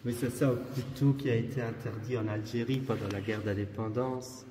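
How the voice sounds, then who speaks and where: A man's voice: medium pitch, fairly even intonation, moderate pace, dark tone, slight background noise. A Belgian artist talking hesitantly about his work in an interview, searching for words and restarting often. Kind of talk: casual interview in a gallery. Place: large exhibition hall. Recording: roomy hall with faint ambience.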